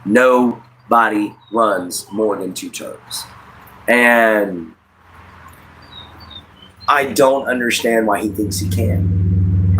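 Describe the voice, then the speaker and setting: A man's voice: on the low side, a bit bright, a little hiss or hum, low-quality recording. An American man in his late thirties hosting a casual livestream, giving rambling, repetitive opinions and addressing listeners directly. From United States. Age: late 30s. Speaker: livestream host.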